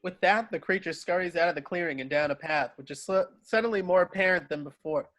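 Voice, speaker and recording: A man narrates stage directions for an amateur script reading. His voice is fairly high and sharp, reading scene headings and action plainly. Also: fast talker.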